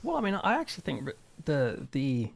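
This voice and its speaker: A man's voice: mid-range voice, huge pitch swings, a little fast, vocal fry. A middle-aged man speaking as an interview guest, explaining ideas in a thoughtful, discursive, conversational way. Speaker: interview guest, church minister and author.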